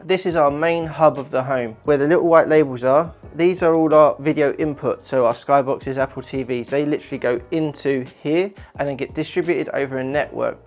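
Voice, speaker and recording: A man, an installer informally explaining his work in a presenter's walkthrough for a company channel. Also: mid-range voice.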